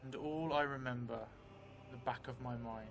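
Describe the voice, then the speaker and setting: A man in his thirties hosting a livestreamed tabletop role-play game, speaking in a measured, reflective way. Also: mid-range voice, steady tone, fairly bright tone, slight background noise. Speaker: solo role-play game player and stream host. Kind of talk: livestream tabletop storytelling and chat. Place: indoors, small stream set at a table. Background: music.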